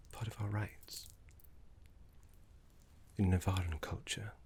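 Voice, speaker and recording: Guy doing a fussy old necromancy professor, asmr roleplay, real slow half whisper, gentle n hesitant. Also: sits low. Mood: playfully chiding. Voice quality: breathy, half-whispered, slightly creaky. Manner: polite, hesitant, slow and deliberate. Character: gentle, fussy, scholarly older male necromancy teacher. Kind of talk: soft-spoken ASMR roleplay.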